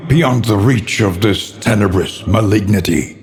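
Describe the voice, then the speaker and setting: A male actor playing a grave, foreboding narrator in a gothic horror game, speaking in an ornate, theatrical and deliberate manner. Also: fairly low pitch, long reverb tail. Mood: grandiose, dread.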